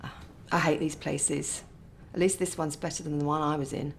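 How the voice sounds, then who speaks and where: A woman's voice: low voice, level tone, moderate pace, slight background noise. An actress playing a middle-aged mother visiting her son in hospital, defensive and remorseful. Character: chaotic, guilt-ridden middle-aged mother. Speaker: patient's mother. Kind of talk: radio play acting.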